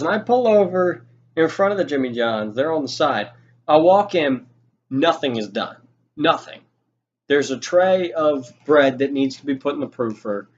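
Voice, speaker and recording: A young man telling a casual story in a rambling, informal way with some swearing. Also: on the high side. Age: young adult. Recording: dull lo-fi audio with noticeable noise.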